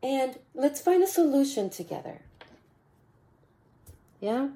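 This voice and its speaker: A woman with a raspy voice hosting a motivational podcast, talking casually and mentoring her listeners, often repeating phrases. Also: sits high, lively intonation, takes it slowly. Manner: warm mentoring tone, repeats phrases, bursts of laughter. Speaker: podcast host.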